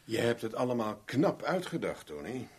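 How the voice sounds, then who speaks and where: Radio play casting: sardonic private investigator held captive, husky mid-pitched voice, dry, probing, unflappable. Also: male voice, big pitch swings, normal speed, a little mellow, heavily acted. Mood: probing, wry. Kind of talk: 1970s Dutch radio drama acting.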